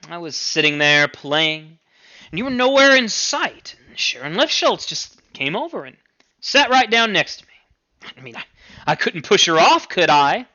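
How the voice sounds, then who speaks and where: A man's voice: sits high, very animated, measured pace, pushed and bright, dull audio, voice pushed up, heavily acted. A man voicing a whimsical, teasing young man in a story, with playful mock-serious emphasis.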